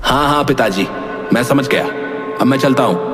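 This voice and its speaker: A man playing a spoiled, defiant young prince in an animated moral story, arrogant and dismissive in manner. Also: medium pitch, normal speed, lightly acted. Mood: petulant, dismissive. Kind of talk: dramatic animated story dialogue. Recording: echoey hall reverb, music behind.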